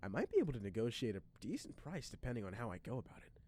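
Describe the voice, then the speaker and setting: A man playing a brash, self-deprecating young man in an animated, expressive anime dub style. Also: mid-range voice, racing, slight background noise, lightly acted. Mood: casual, calculating.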